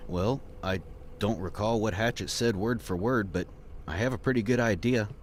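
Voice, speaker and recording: A man voicing an earnest, patient and principled man in an audio drama, explaining himself in a measured, formal way. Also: on the low side, a little hiss or hum, natural voice. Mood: earnest, subdued.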